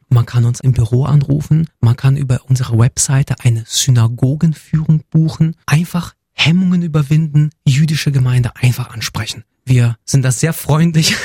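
A man's voice: medium pitch, warm tone, husky, no room sound, studio quality. A man who grew up in Germany, answering interview questions earnestly and often speaking in lists. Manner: earnest, list-like phrasing. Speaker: guest, community representative.

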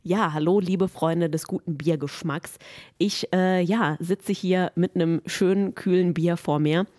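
A woman's voice: fairly low pitch, steady tone, unhurried, dark tone. A woman hosting a podcast in a basement room, asking friendly, chatty questions. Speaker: podcast host. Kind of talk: casual interview.

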